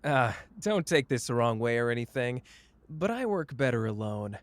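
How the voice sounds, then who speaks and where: A man's voice: medium pitch, animated, normal speed, no room sound, lightly acted. A man playing a cocky, flamboyant young sorcerer who teases the listener in a playful, smug roleplay audio drama. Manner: playful, smug, drawling teasing.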